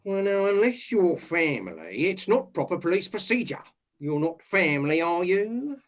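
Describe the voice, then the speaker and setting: A man's voice: sits high, sing-song, moderate pace. A man playing a bumbling, self-important police inspector, rambling and condescending, in a comic murder mystery. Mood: condescending, brusque.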